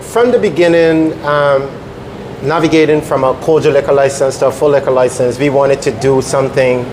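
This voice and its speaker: A man's voice: mid-range voice, steady tone, moderate pace. Middle-aged man from Barbados, noisy room. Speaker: restaurant chef being interviewed. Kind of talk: explanatory interview talk.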